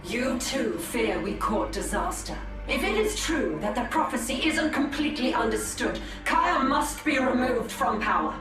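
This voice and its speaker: A proud Eldar warrior leader speaks in a formal, measured way, as a woman acts her out. Her fairly high voice rings with heavy echo from a distance, with loud music behind. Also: animated, natural voice. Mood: resolute. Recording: very echoey, distant game audio with music.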